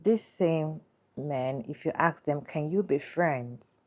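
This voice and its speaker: A woman with a strikingly deep voice and a dark, mellow tone hosts a commentary channel. She talks to her viewers deliberately and keeps asking what they think. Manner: deliberate, addresses viewers with questions. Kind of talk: reaction commentary.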